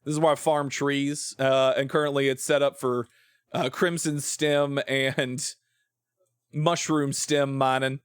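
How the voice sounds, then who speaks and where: A man's voice: medium pitch, flat delivery, slow, clear, resonant tone, dry recording. Rambling American man streaming, close dry sound. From southern-adjacent United States. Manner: rambling, thinking aloud. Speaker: game streamer. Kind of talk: casual livestream chat while playing a building game.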